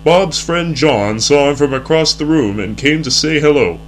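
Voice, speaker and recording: A man's voice: fairly high pitch, animated, normal speed, a little hiss or hum. A young man reading scripted narration in the earnest, formal announcer style of a 1960s educational film. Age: young adult.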